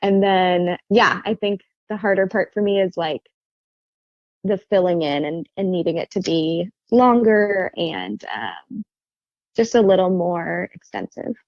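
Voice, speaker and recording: A young woman guest with a soft, quiet voice, speaking in a casual interview, hesitant with many fillers and restarts. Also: lowish voice, lively intonation, a little slow, no room sound, highs cut off. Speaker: author and guest.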